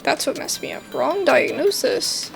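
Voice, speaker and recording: A woman's voice: sits high, animated, a little slow, vocal fry, faint music behind. A young woman giving casual let's play commentary and reading game text aloud. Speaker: gaming video host.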